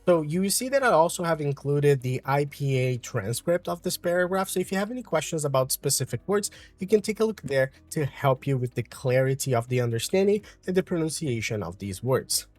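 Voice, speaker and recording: A man from Brazil with a Brazilian Portuguese accent, calmly explaining an English lesson with frequent fillers. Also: fairly high pitch, fairly quick, hardly any pauses, no echo, soft background music. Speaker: English teacher.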